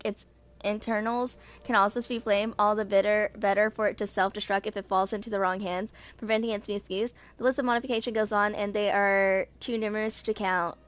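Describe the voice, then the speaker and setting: A woman in her twenties reading written game lore aloud in an amateur narration, haltingly, stumbling over words and rereading them. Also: mid-range voice. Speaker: video creator reading game character lore.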